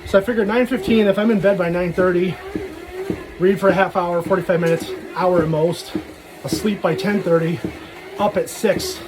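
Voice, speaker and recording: A man with a rather high-pitched voice talks in a loose, casual vlog style. He wanders between thoughts and slips in self-deprecating jokes. Clear ambient noise, with some vehicle sound, runs behind him. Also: fairly even intonation, normal speed. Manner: self-deprecating, jokey asides. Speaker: vlogger. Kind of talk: casual rambling personal vlog. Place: talking while out walking.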